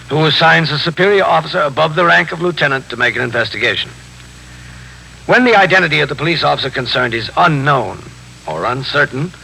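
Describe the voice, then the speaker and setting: An actor playing a stern, methodical police captain in a 1950s radio drama, speaking in a measured, official and probing way. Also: male voice, mid-range voice, gravelly, pushed and bright, full character voice. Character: police captain narrating and questioning his men, stern, methodical. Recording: faintly noisy 1950s radio broadcast.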